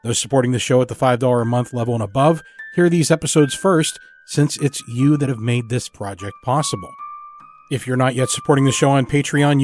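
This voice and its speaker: Man, podcast host, medium pitch, scripted announcements, light music behind. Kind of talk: scripted show introduction and announcements.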